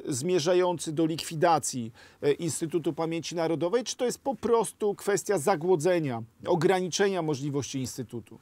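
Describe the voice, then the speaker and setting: A male TV host questioning a guest from the studio, his voice sitting fairly high for a man. The sound is close and dry, and he builds long questions with small pauses. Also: a little mellow, dry recording. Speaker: TV news interviewer.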